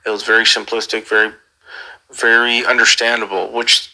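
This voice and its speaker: A man's voice: fairly low pitch, little pitch movement, moderate pace, pushed and bright, low-quality recording. A man on a casual call, asking earnest questions in a hesitant way and often repeating his phrases. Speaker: caller.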